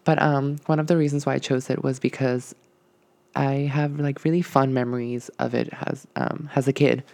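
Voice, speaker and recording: Young man with a gravelly voice. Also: mid-range voice, little pitch movement, moderate pace, a little mellow, no echo. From Puerto Rico. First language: Spanish. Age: young adult. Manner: rambling, hesitant, many ums and likes. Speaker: podcast host. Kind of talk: casual solo podcast monologue.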